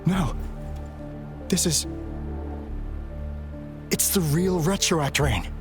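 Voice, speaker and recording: An actor playing a grim, resolute general in a video game, speaking in a measured, clipped, commanding way. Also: male voice, a little high, animated, music in the background. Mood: shaken. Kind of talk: dramatic video game acting.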